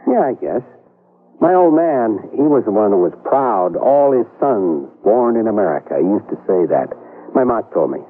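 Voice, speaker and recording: An actor playing a gruff working-class security guard who talks bluntly and sarcastically in slang. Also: male voice, on the low side, sing-song, normal speed. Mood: gruff, sarcastic. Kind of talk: old-time radio drama acting. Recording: tinny, lo-fi radio broadcast.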